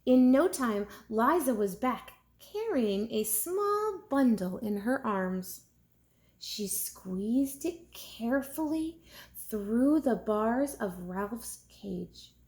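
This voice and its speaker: A woman reading a storybook aloud like a teacher, expressive and deliberate for young listeners. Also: fairly high pitch.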